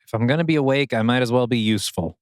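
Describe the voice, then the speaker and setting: A man's voice: medium pitch, very fast, smooth, no room sound, clean studio sound, lightly acted. A man playing a tired, earnest guy with a casual, conciliatory manner in a screenplay read-through. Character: tired, earnest young man trying to keep peace.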